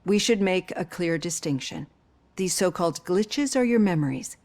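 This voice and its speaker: An actress playing a psychologist in her fifties who asks gentle, probing questions. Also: lowish voice, lively intonation, moderate pace. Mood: earnest. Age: 50s. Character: female psychologist in her fifties, caring and composed.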